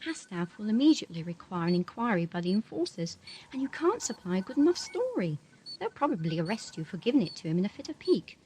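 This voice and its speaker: A woman playing a brisk, sardonic space station doctor in an audio drama, dry and assured. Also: mid-range voice, sing-song, a little mellow, lightly acted, rough recording. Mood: stern. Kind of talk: radio drama acting. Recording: hissy amateur 1980s audio drama tape.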